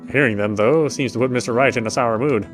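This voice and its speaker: A male let's player with a medium-pitched voice reads game narration aloud. He comments casually and sometimes stumbles on words, with light music in the background. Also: fast talker.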